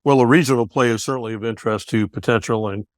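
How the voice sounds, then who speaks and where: A man's voice: medium pitch, fast talker, dry recording, studio quality. A man hosting a business interview show, asking friendly, direct questions.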